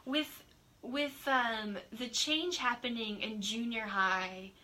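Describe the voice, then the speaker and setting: A woman hosting a casual interview with a very high, bright voice. She encourages her guest and laughs along often. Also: steady tone, slow. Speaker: talk show host.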